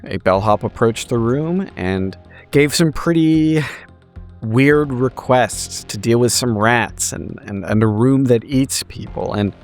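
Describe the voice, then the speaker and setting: A man's voice: mid-range voice, a little mellow. A man who plays in a tabletop game, giving a conversational story recap with wry, literary and profane wording.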